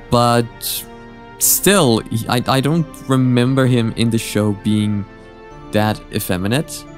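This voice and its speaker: Low-voiced man giving rambling commentary. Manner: hesitant, halting, repeats words. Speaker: reaction video host.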